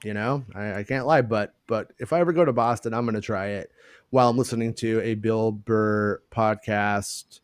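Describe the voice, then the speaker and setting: A man's voice: on the low side, moderate pace, clean tone, dry recording, studio quality. A man hosting a casual podcast chat over a video call, stumbling over and repeating his words as he jokes. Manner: stammering, repeats words, self-deprecating jokes. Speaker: podcast host.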